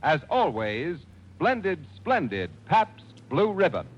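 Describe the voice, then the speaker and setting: A man's voice: on the high side, big pitch swings, takes it slowly, breathy edge, highs cut off. A man announcing in 1940s radio style, reading sponsor copy with polished, emphatic salesmanship. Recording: old noisy radio broadcast.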